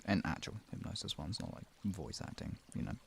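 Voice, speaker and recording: A man with a lowish, creaky voice chats casually as he hosts a live stream. He wanders through his thoughts and often leaves them unfinished. The tone is soft-edged and dark, with slight noise behind him. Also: expressive, fairly quick. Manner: informal, rambling, trailing off mid-thought. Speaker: live stream host and relaxation guide. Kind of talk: casual, improvised live-stream chat with viewers.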